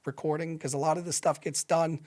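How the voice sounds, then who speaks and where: Man, nasal, a little high, rambling guest in casual chat. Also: level tone, a little fast, darkish tone. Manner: loose, rambling with many fillers. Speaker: podcast guest.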